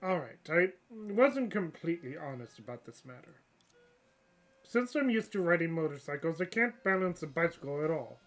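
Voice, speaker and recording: A stuffed-up channel host reading the part of an evasive, dryly self-assured young female lawyer in a game story, giving cool, deadpan excuses. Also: medium pitch, moderate pace, close to an everyday voice. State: stuffed-up nose.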